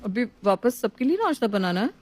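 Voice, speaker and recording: A woman's voice: on the high side, very animated, brisk, a little mellow. A woman voicing a put-upon young housewife in a story, polite and restrained as she complains. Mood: hesitant.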